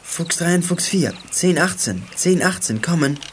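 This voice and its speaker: A self-assured teenage boy sleuth in a children's radio play. The voice is fairly high for a male, matter-of-fact and slangy, over clearly noisy outdoor ambience. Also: expressive, unhurried. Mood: brisk, in charge. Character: teenage boy amateur detective Michael, cool and self-assured.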